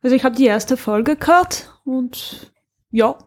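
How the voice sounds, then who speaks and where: A woman's voice: sits high, animated, a little slow, a little mellow. A woman co-hosting a podcast in casual conversation. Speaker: podcast co-host. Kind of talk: casual chat.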